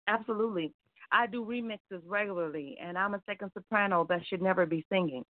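A woman's voice: mid-range voice, fast talker, no room sound. A woman calling in as a poet, bantering casually in a wry, theatrical way with emphatic repetition. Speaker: caller and poet. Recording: narrow, dry phone line.

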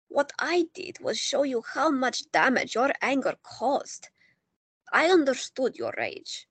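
An actress playing an elderly woman in her nineties, a proud former resistance fighter, speaking firmly and warmly. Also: sits high, husky, dry recording, full character voice. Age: older. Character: elderly woman, war veteran, proud and firm. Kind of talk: audio drama acting.

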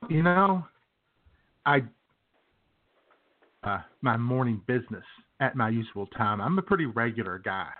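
A man's voice: mid-range voice, lively intonation, a little slow, long pauses. Wry male co-host on a phone line. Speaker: radio show co-host. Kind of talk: casual joking banter.

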